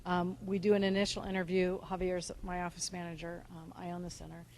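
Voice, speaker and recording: Loud woman from the audience, lowish voice, lots of ums. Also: monotone, fairly quick. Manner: loud, with many "um" fillers. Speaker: clinic owner in the audience. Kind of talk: sharing experience from the audience. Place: conference room.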